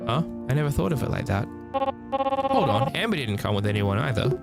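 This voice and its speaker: A man's voice: medium pitch, huge pitch swings, moderate pace, vocal fry, music in the background, voice pushed up. A man voicing a guarded, prickly young survivor in a visual novel, talking in a grudging, wry way. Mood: surprised, grudging. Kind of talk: first-person visual novel narration and dialogue.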